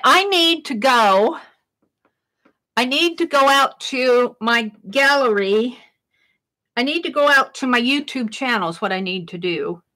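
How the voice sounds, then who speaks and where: A middle-aged woman talks through her art livestream in a loud, projected voice, thinking aloud and chatting with viewers. Her voice sits high and bright, and she speaks at an easy, unhurried pace. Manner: chatty, thinks aloud, reads chat comments. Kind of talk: casual livestream chat while crafting.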